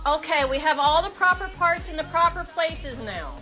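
Woman, 30s, from the American South, teasing and chatty as she films a home kitchen on her phone; high voice, music under it. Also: lively intonation, moderate pace. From Kentucky and Tennessee. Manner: playful, teasing, talks to viewers. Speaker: vlogger filming the cooking. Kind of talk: casual chatty home vlog. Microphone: phone held at arm's length. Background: music and frying sizzle.

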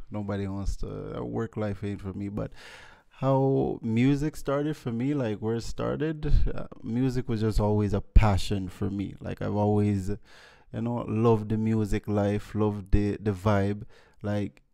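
Laid-back Jamaican man with smooth voice. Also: fairly low pitch, warm tone. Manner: laid-back, chatty, peppered with "you know" and "you understand". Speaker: guest musician. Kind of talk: casual interview. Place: living room with mirrored wall decor. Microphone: studio mic on boom arm.

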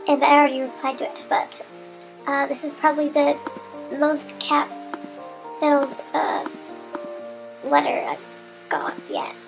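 High, gravelly stuffy-nosed young woman. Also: low-quality recording. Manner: chatty, giggly, self-mocking. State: stuffy nose, getting sick. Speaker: gamer. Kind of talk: casual gameplay commentary.

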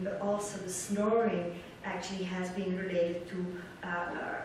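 Very echoey hall recording, woman lecturer, middle-aged, halting explanatory delivery, mid-range pitch. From Belgium. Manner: halting, searching for words, repeats words. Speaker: academic researcher giving a keynote lecture. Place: large lecture hall, indoors, at a lectern. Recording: echoey hall from far off, background noise.

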